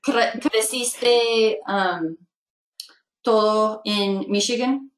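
A woman's voice: a little high, very slow, echoey room, low-quality recording. A woman hosting a Spanish-practice podcast, speaking hesitantly with many fillers and laughing often. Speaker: podcast host. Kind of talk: slow learner-level Spanish podcast chat.

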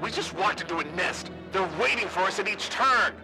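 A man playing a frantic male soldier calling over the radio, shouting and swearing, in a fan-made audio drama. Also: sits high, fairly quick, music in the background, rough recording. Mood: panicked.

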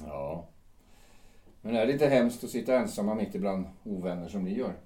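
An actor playing a reserved middle-aged friend who answers in quiet, measured tones. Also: male voice, on the low side, lively intonation, natural voice. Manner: quiet, measured, dry replies. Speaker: actor in an audio drama. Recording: echoey room.

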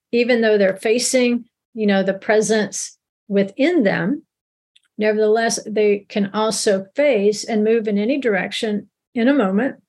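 A middle-aged woman teaches a Bible lesson in a loose, conversational way, often circling back and restarting her phrases. Her voice is soft and breathy, sitting at an ordinary middle pitch.

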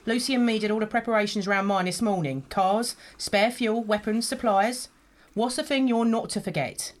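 A woman's voice: medium pitch, moderate pace, close to an everyday voice. A woman playing a hard, scornful smuggler, curt and sardonic, in an audio drama. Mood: commanding. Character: bossy, sarcastic female gun smuggler, hard and scornful.

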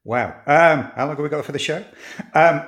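A man's voice: a little high, a little slow, a bit bright. A man in his sixties from near Manchester with a northern English accent, telling stories as an interview guest in a rambling, chatty way with many ums. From northwest England, near Manchester. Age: 60s. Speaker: author and podcast guest. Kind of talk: casual interview chat.

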